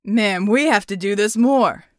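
A woman's voice: mid-range voice, measured pace, no echo, clean studio sound, natural voice. A woman voicing a brash, playful male rogue, exasperated and boozy, with loose slangy delivery in an audiobook. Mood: drunk, exuberant.

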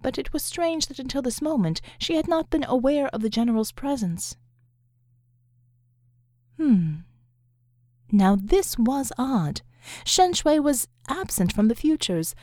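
A woman reading dramatic fiction as an audiobook narrator, in a measured, deliberate way. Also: sits high, big pitch swings, warm tone, dry recording, studio quality. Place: close, dry recording space.

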